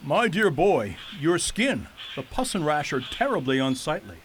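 A man's voice: on the high side, big pitch swings, measured pace, slight background noise, low-quality recording. An actor playing a rotund, lazy, pompous king with florid, blustering phrasing in a radio play. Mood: fussy. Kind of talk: theatrical radio drama acting.

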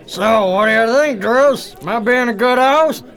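A man's voice: very high-pitched, unhurried, gravelly, a bit bright, full character voice. A man playing a folksy, genial husband in a radio drama, informal and hearty in manner.

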